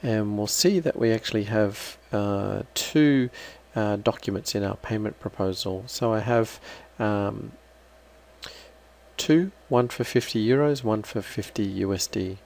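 A man giving an unscripted, halting step-by-step software walkthrough as a trainer. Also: fairly low pitch, creaky. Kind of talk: screen-recorded software walkthrough.

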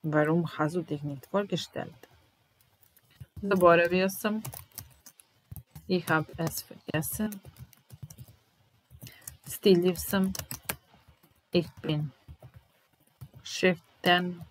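A woman in her thirties with a Serbian accent, teaching German slowly, repeating each phrase while typing, in a small room at home. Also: lowish voice, slight background noise, rough recording. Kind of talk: slow, deliberate language lesson.

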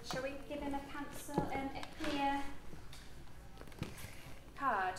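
High-pitched British woman teacher in echoey room. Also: takes it slowly, heavy background noise. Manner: simple step-by-step explaining with frequent questions. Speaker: primary school teacher. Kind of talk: interactive classroom lesson with young children. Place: indoor classroom with wooden floor. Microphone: camera a short distance away. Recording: echoey classroom, picked up at a distance.

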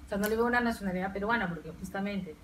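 A woman's voice: medium pitch, racing, fairly bright tone. A middle-aged woman speaking on a university panel, reflective and searching for words. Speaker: panel guest writer. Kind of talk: panel discussion. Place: university lecture room, seated at panel table. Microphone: table stand mic heard from far camera. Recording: distant, faint hiss.

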